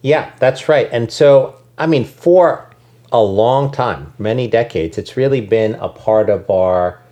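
A man's voice: medium pitch, a little slow, warm tone, muffled recording. Thoughtful man with many ums. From United States. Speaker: guest, education nonprofit leader. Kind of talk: conversational interview.

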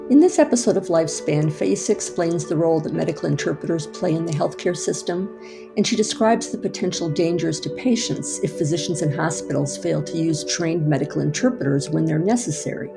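A woman hosting a program, reading scripted narration and asking interview questions in a steady, deliberate way. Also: fairly low pitch, creaky. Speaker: radio host and interviewer.

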